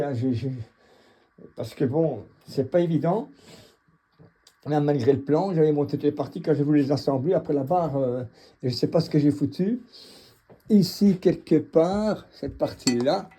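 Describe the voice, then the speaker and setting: A middle-aged man from the Mons region with a Belgian French accent and a husky voice, giving a casual unscripted tour in a halting, blunt, colloquial way. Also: on the high side, warm tone. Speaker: home gym owner and vlogger.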